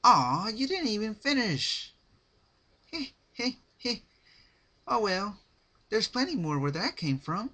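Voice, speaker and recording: A man voicing a giddy, menacing female party pony in a dramatic reading of cartoon fan fiction, excitable, pushy and giggly. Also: high-pitched, takes it slowly, long pauses, voice pushed up, heavily acted.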